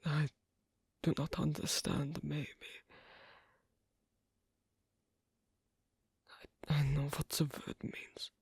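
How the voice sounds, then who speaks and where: Performer as isolated swan king, foreign accent, hesitant, bookish, creaky, very breathy, close dry ASMR story delivery. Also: medium pitch, little pitch movement, no room sound. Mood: puzzled, pensive. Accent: foreign-accented English. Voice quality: breathy, half-whispered, creaky. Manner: halting, hesitant, clipped non-native phrasing. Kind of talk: intimate ASMR roleplay storytelling.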